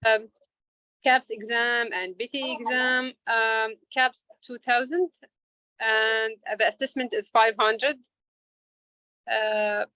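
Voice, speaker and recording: A woman interview guest speaking English as a second language, answering informally and haltingly as she searches for words. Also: fairly high pitch, fairly even intonation, dry recording. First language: not English. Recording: dull lo-fi audio.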